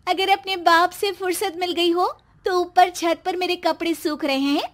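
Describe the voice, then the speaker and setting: A woman voicing an exasperated, sarcastic young wife in animated story dialogue, scolding and mocking. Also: very high voice, normal speed, pitched above the performer's own voice. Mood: sarcastic, irritated.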